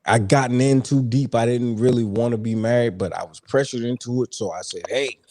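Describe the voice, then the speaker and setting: A man's voice: mid-range voice, brisk, darkish tone, a little hiss or hum. Candid forties man telling stories. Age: 40s. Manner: candid storytelling, laid-back. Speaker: podcast guest. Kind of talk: casual chat.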